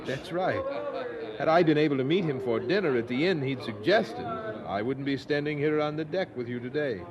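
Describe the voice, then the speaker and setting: A man's voice: mid-range voice, expressive, normal speed, dull audio, crowd noise, close to an everyday voice. Casting: male actor for earnest period preacher in audio drama; mid-range voice, declamatory, formal, resolute. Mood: wry, resolute. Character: earnest, resolute 18th-century English preacher.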